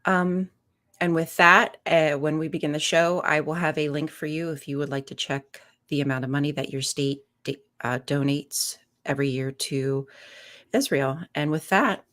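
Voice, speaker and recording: A woman's voice: low voice, steady tone, moderate pace, fairly bright tone. A woman co-hosting a casual chat show, blunt and outspoken. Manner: blunt and outspoken, laughs easily. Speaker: podcast co-host.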